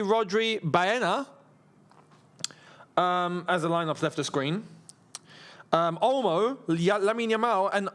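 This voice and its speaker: A man in his thirties hosting a live football watchalong, sounding worn out from long days. He talks in a loose, rambling way, pitched a touch high for a man, and breaks into quick laughs as he reads viewer messages aloud. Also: a bit bright, smooth. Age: 30s. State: tired. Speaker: football channel host. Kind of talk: casual live watchalong chat.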